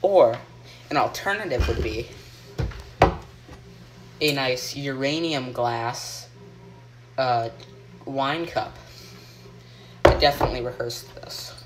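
Teen boy host, deadpan joke cooking show, phone-filmed in a kitchen, hissy audio. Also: mid-range voice, slow pace, pauses often. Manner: deadpan, rambling, with ums and mock instructions. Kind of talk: joking mock cooking show. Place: home kitchen, indoors. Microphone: phone camera held by a friend. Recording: noisy home phone video.

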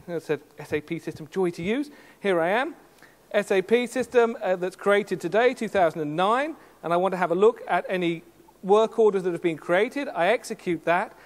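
Middle-aged male keynote presenter, high voice, playful showman, big hall reverb. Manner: lively, jokey showman with sound effects. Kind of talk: live product demo on stage. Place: large conference hall stage.

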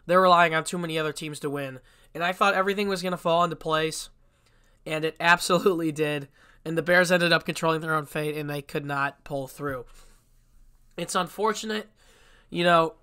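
Young male podcast host, congested from a cold, fairly high and bright, casual and blunt. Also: smooth. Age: young adult. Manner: chatty and blunt, with frequent restarts. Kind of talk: casual solo sports podcast.

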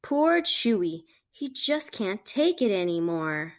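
A woman reading a picture book aloud to children, with animated, emphatic storytelling delivery. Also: mid-range voice, slow pace. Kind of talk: expressive read-aloud narration for children.

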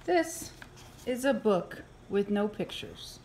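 A woman reading a children's picture book aloud in an expressive, playful way, with exaggerated reactions. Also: medium pitch, slow, a little hiss or hum. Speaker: early childhood program coordinator reading aloud. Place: indoors, filmed over an open book.

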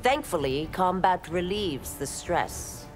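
A woman's voice: on the low side, expressive, slow, soft background music. An actress playing a stern, dutiful woman constable and warrior in a video game, cold and firm. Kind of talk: dramatic video game dialogue.

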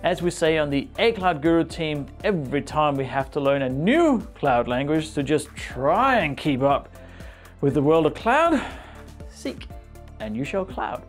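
Guy in his 40s hosting tech news, speaking english, really lively pitch, bit of wry humour, music under it. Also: moderate pace. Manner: animated, playfully wry. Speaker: host. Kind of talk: scripted tech news presenting to camera. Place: small indoor studio. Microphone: lapel mic.

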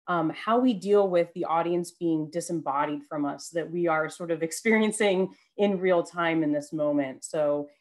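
A woman's voice: deep voice, moderate pace, few pauses, darkish tone. A young woman moderating an online panel discussion, speaking into a headset on a video call. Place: room at home, video call. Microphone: headset boom mic.

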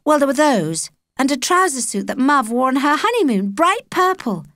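A woman's voice: high-pitched, sing-song, normal speed, fairly bright tone, no room sound, clean studio sound, voice pushed up. A British woman voicing an earnest, hesitant young girl in a children's audiobook. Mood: eager. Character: young girl, earnest, unsure, a little anxious.

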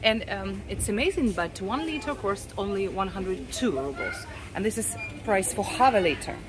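A Russian woman with a Russian accent, talking casually as a vlogger while she walks through a grocery store, halting often with "uh" and restarts. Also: mid-range voice, lively intonation. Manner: unhurried, halting, frequent uh and restarts. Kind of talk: casual shopping vlog walk-through. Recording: clear store background noise.

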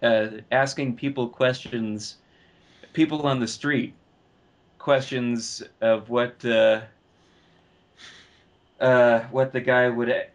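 A man speaks slowly as a co-host in a casual discussion. His mid-pitched delivery is halting, and he often pauses and repeats a phrase before carrying on. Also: muffled recording. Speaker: podcast co-host. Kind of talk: casual film and game discussion.

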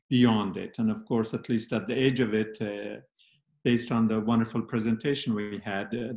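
A man speaks as a panel guest over a video call, unhurried and thoughtful, stopping often to find his words. His voice sits at an ordinary pitch. The room around him rings with noticeable echo. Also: darkish tone. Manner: slow, measured, many pauses and fillers. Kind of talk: reflective discussion on a video call. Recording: roomy video call audio.

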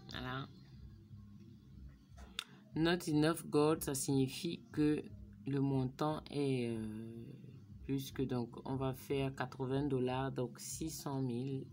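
A woman with a low, deep voice talks through a screen-recorded phone tutorial. She thinks aloud while typing in numbers, sometimes sinking to a quiet murmur. Her voice is picked up by a phone microphone, with a faint hiss behind it. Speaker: vlogger.

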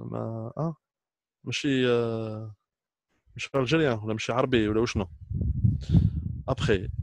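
Fast-talking Algerian man, informal. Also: fairly low pitch, no echo. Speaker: trainer speaking to students. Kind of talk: informal talk to camera, switching between French and Arabic.

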